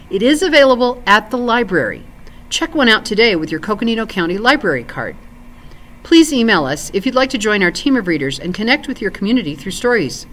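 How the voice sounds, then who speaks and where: A woman with a mid-pitched voice reads aloud as a volunteer storyteller. Her intonation moves widely and lively, with expressive phrasing suited to a family story hour. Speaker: volunteer story reader and ESL teacher.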